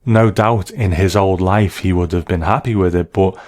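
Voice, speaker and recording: A man hosting a scripted episode commentary, speaking in a measured, appreciative way. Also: low-pitched, steady tone, a little mellow. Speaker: host of a TV episode commentary show.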